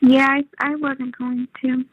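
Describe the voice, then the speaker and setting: A woman's voice: high voice, level tone, unhurried, no room sound. A young American woman in casual phone conversation, hesitant and colloquial in the way she talks. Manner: hesitant, says little, colloquial. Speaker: daughter receiving a jail phone call. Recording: tinny, narrow telephone line.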